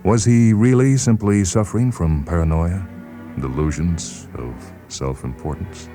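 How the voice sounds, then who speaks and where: A man giving a measured, expressive, dramatic reading of a literary short story. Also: low-pitched, over music. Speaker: narrator, short story reader. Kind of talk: dramatic scripted literary narration.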